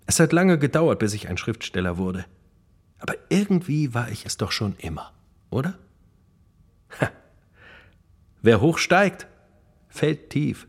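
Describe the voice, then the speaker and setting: A man's voice: medium pitch, big pitch swings, unhurried, long pauses, slightly rough, exaggerated voice. An actor playing a boastful, wry gang burglar turned writer, telling his exploits in a dramatic radio play monologue. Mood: reflective, rueful.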